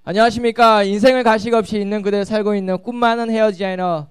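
Male guest, twenties, regional Korean accent, smooth slightly high voice, polite and hesitant. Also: steady tone, fast. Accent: regional Korean dialect. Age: 20s. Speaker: guest, hair designer. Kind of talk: casual talk on stage.

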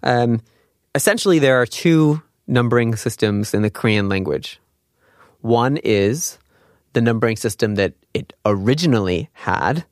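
A man's voice: mid-range voice, no echo. Dry-sounding man giving an informal lesson. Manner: conversational, explanatory, poses rhetorical questions to the listener. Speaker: language teacher and host. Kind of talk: informal spoken lesson with examples and quiz questions.

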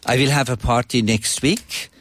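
Older Swiss man, halting English. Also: medium pitch, fairly even intonation, a bit bright. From Switzerland. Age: 60s. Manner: halting, non-native English phrasing. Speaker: ambassador, interview guest. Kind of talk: conversational interview talk.

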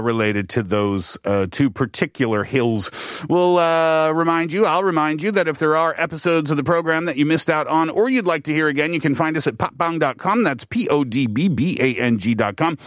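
A man's voice: on the high side, a bit bright, no echo. A man from the East Coast of Canada hosting a radio show from a studio, explaining steadily with the odd filler. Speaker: radio host. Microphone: studio mic.

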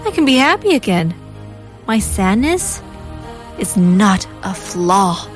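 A woman's voice: mid-range voice, big pitch swings, slow pace, soft background music. A woman co-hosting a morning radio show, teasing her partner and reading affirmations in a theatrical, dramatic way. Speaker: radio co-host. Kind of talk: casual morning radio banter.

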